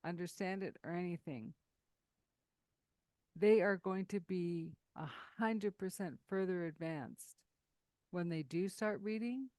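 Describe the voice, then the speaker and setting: A woman hosting an interview, chatty and animated, who tells stories quickly and laughs often. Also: fairly low pitch, no room sound. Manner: lively storyteller, laughs often, speeds up when excited. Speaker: podcast host. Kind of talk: casual interview conversation.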